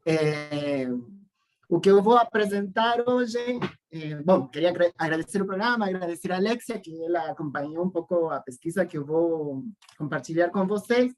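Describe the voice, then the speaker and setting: A speaker from Argentina with a Spanish accent giving an informal academic talk on a video call, halting and repeating words. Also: medium pitch. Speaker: guest lecturer, academic and activist. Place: online Zoom call.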